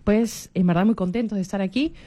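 A woman in her forties with a Peruvian Spanish accent talks on a radio program. Her voice is mellow and soft. She is chatty, keeps adding little tag questions and restarts her phrases as she goes. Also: medium pitch, fairly quick. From Peru. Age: 40s. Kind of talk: casual radio talk.

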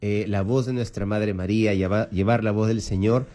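A man, hosting a radio fundraising show in a chatty, animated way and laughing often. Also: on the low side, moderate pace. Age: 40s. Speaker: radio host. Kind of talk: casual radio chat, fundraising appeal.

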